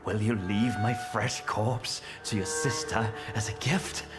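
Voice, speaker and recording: An actor playing a menacing, smooth-talking police chief in a dramatic video game, speaking in a formal, taunting, measured way. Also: male voice, on the low side, steady tone, close to an everyday voice. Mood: taunting, defiant. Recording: loud music under the voice.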